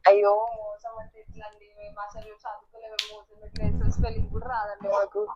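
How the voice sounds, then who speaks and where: A woman's voice: mid-range voice, monotone, quick pace, a little mellow, breathy edge, no room sound. A young woman in a casual phone conversation, mixing English words into her speech, heard over lo-fi call audio. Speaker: person receiving a phone call.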